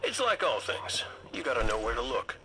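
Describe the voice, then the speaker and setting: A man's voice: mid-range voice, big pitch swings, moderate pace, noisy background, lightly acted. An actor voicing a cocky, wisecracking male scout leader in a video game, glib and teasing with casual swagger. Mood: sly, breezy.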